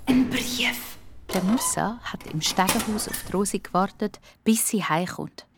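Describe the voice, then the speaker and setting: Female narrator, Swiss German accent, medium pitch, scripted children's audio story. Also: expressive, measured pace, very noisy.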